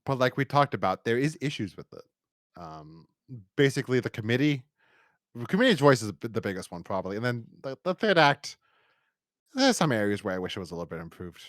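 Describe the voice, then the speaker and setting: A man's voice: mid-range voice, big pitch swings, brisk, no echo, clean studio sound. A man co-hosting a podcast, chatting casually and enthusiastically through a review in a rambling way. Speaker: podcast co-host.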